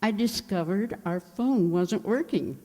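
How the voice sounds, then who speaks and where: A woman playing a scatterbrained, kindly, nosy older landlady in a comedic old-time radio show, dithery and unhurried. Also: medium pitch, dark tone, lightly acted. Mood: chatty. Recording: hall-like, long reverb.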